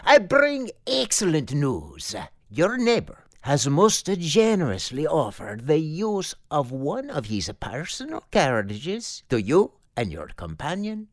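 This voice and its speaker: An actor playing an anxious, deferential Roman hotelier in an audio drama, formal, obliging and hesitant. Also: male voice, on the high side, huge pitch swings, slow pace, heavily acted. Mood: pleased, obliging.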